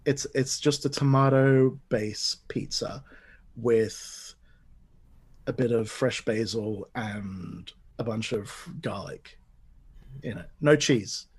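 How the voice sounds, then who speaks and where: An enthusiastic man in his forties chats as a podcast guest, his accent a blend of Australian, Dutch and British. His voice sits at an average pitch. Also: takes it slowly, slightly rough. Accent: mixed Australian, Dutch and British. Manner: chatty and enthusiastic, lots of restarts. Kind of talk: casual podcast chat.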